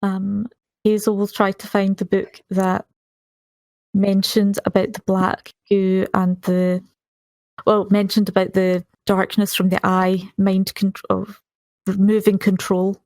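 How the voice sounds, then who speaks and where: A woman's voice: mid-range voice, monotone, slow, darkish tone, no echo. A woman playing a tabletop roleplaying game, casually narrating and speaking for her character.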